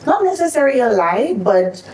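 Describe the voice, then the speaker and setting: A woman's voice: high voice, big pitch swings, fairly quick, a little mellow, a little hiss or hum. A woman hosting a casual podcast panel chat, talking in a frank, laid-back way. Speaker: podcast host.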